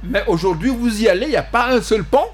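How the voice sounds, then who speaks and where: A man from the Central African Republic, a party president speaking as a guest in a panel debate, halting, repeating words and pressing his points. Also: sits high, expressive, husky. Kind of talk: panel talk show debate.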